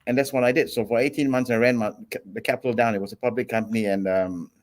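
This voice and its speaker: A man in his forties from Singapore, a guest on an interview show, telling his story in a relaxed, steady way with many ums. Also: medium pitch, quick pace. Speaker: guest entrepreneur.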